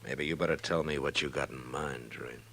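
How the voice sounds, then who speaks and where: Very deep-voiced frontier marshal. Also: male voice, steady tone, gravelly, noisy background. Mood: quietly firm. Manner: measured, understated, firm. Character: stern, laconic frontier marshal of Dodge. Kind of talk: 1950s radio western acting. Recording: old radio drama, murmuring crowd behind.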